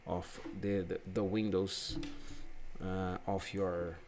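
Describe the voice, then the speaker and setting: A young man from Brazil with a Brazilian Portuguese accent, hosting a screen-share software tutorial in non-native English and often restarting his phrases. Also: deep voice, level tone, slow, background noise. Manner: hesitant, repeats and restarts phrases. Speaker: UX/UI designer and channel host.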